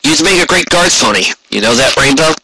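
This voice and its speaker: A man's voice: medium pitch, expressive, brisk, noisy background, natural voice. Man acting a tired, dutiful pony guard captain. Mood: tired, friendly. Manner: tired, firm, terse. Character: weary wounded male pony guard captain, proud and dutiful.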